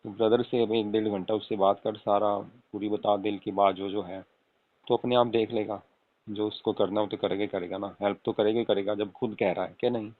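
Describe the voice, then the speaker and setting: A man's voice: fairly low pitch, flat delivery, brisk, lightly acted. A man playing an affectionate young husband on a casual late-night call, coaxing and often asking for agreement. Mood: gently advising. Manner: coaxing, repeatedly seeking agreement with tag questions. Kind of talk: acted casual phone conversation with spouse. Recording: tinny phone-call audio with room echo.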